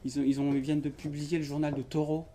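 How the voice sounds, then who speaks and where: A man with a mellow, warm tone talks about his writing at a bookshop event, speaking in a relaxed and halting way. He often stops mid-sentence and fills the gaps with small words. A faint hum of the room sits behind him. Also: on the high side. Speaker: author at his book launch. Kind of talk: casual conversational interview. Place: bookshop event before an audience.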